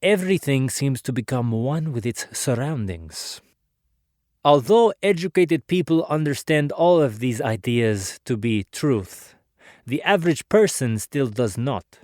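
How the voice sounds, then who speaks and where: A man acts out an earnest male inventor reflecting on his life and ideas in a dramatic biography. His delivery is measured, formal and oratorical, at an average male pitch. The sound is dry, with no room echo. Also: expressive, a little mellow, natural voice. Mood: reflective, earnest. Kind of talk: dramatic biography narration, formal first-person monologue.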